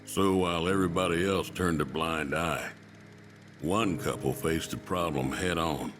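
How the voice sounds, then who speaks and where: An actor playing a folksy, plainspoken middle-aged cowboy with a Southern American drawl in a video game. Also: male voice, deep voice, measured pace, over music. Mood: grave, storytelling. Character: folksy, plainspoken older male cowboy racer. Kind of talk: dramatic video game dialogue.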